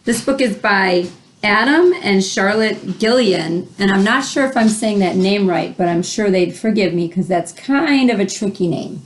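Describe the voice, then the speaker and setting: A woman's voice: medium pitch, animated, moderate pace. A woman reading a children's picture book aloud, adding chatty asides and simple explanations for young listeners. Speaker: read-aloud host.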